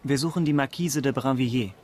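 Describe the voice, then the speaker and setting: A man acting a dutiful police officer of 1670s Paris in a German radio crime drama, speaking in a formal, measured, matter-of-fact way. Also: mid-range voice, slight background noise, lightly acted. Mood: formal, resolute.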